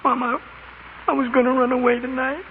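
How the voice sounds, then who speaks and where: An earnest teenage boy pleads in a high, quick voice, stammering with eagerness. It sounds like a tinny, faintly noisy 1940s radio play. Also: little pitch movement. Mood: tender, remorseful. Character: earnest, impulsive teenage boy, devoted son.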